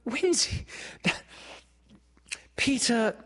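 A narrator voicing a well-mannered, excitable young girl in a storybook, prim and given to gushing exclamations. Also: medium pitch, unhurried, breathy edge, in a large hall, voice pushed up, exaggerated voice. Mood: excited, gushing.

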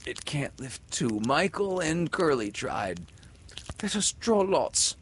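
Man doing a frightened little girl, tired but brave, high girlish voice, storybook reading. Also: big pitch swings, takes it slowly, a little hiss or hum. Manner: raised, girlish pitch.

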